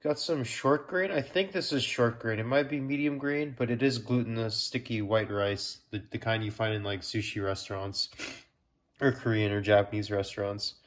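A man's voice: lowish voice, moderate pace. A man with a cold, congested, casually chatting and rambling through a home cooking vlog in his kitchen. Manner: chatty, rambling, self-correcting. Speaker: food vlogger.

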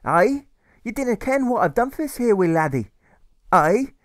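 Angry Scottish carer: high, bellowing, spiteful, exasperated audiobook character. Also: male voice, big pitch swings, moderate pace, no echo, studio quality. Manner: bellowing, emphatic dialect rant.

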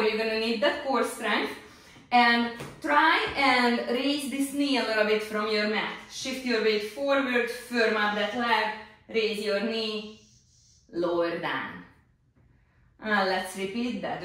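A woman instructor talks through exercises step by step at a slow pace. Her voice sits a little high. She is recorded at some distance from the microphone in a small, strongly echoing studio. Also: a bit bright. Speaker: Pilates instructor. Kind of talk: exercise instruction, demonstrating moves. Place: small indoor home-style exercise studio. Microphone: distant camera microphone. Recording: echoey room, distant microphone.

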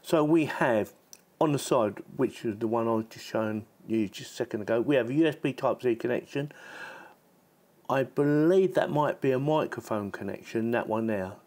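A man's voice: medium pitch, moderate pace, a little mellow. A man giving a casual, chatty hands-on product demonstration, informal and given to repeating himself. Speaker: product reviewer.

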